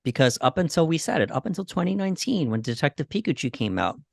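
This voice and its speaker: A man co-hosting a podcast in casual discussion, thinking aloud in a halting way. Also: on the high side, sing-song, creaky, a little mellow, no echo, clean studio sound. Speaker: podcast co-host. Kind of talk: casual discussion of games and movies.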